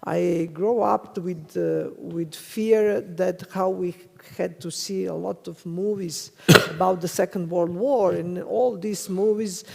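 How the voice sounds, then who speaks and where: Slow, hesitant woman with a Serbian accent. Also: on the low side, fairly even intonation, vocal fry, very noisy. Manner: hesitant, searching for words, laughs often. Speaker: panelist. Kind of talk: conference panel discussion. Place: conference hall. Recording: reverberant conference hall.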